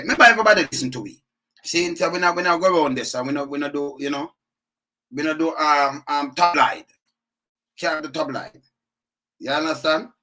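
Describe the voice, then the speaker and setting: A man's voice: a little high, husky. A Jamaican man in patois giving heated, repetitive commentary as the channel host. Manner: animated, repetitive, indignant. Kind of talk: ranting commentary.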